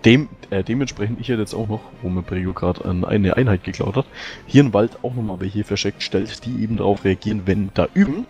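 Low-voiced man chatting with fillers. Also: a little fast, a little mellow, faint music behind. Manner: casual, hesitant with many fillers. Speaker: player. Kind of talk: casual tabletop chat.

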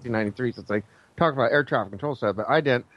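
A man telling a story casually as a guest, halting and searching for his words. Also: mid-range voice, dull audio. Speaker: guest, air traffic controller.

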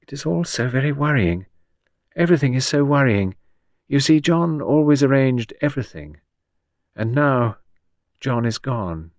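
Man voicing a vague, high-pitched anxious widow. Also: animated, moderate pace, a little mellow, no echo, studio quality, lightly acted. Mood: bewildered, piteous. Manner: vague, plaintive, apologetic. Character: Gerda, vague, apologetic, bewildered, anxious widow.

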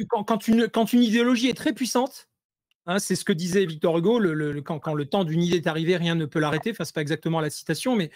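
A high-voiced man talks as an interview guest over a video call, his sound dry and close with no room around it. He stumbles through fillers and repeated words, then pushes hard and animated when he lands a point. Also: dry recording. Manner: hesitant, many fillers and restarts; animated, rising intensity when making points. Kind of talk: conversational interview analysis.

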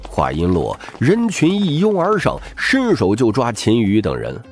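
A man's voice: mid-range voice, wildly swooping pitch, a little slow, faint music behind. A man narrating scripted fiction as a dramatic audiobook reader.

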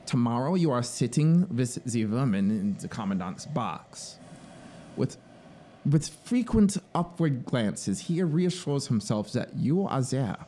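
A man's voice: mid-range voice, slight background noise. A man voicing a fanatical, scheming officer in a dramatic story reading, pleading and grandiose, with a theatrical, urgent manner. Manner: theatrical, urgent, swinging between hushed asides and exclamations.

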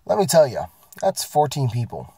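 A man's voice: mid-range voice, huge pitch swings, moderate pace, mellow, a little hiss or hum. A man, getting sick, ranting casually and profanely about his work week from inside his parked car. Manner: profane, rambling, self-mocking. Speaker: vlogger. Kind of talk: casual rant to camera.

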